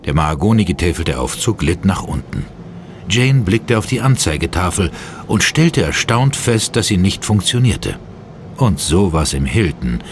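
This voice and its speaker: A man's voice: low-pitched, noisy background. A man narrating an audio drama in a dramatic, scripted style.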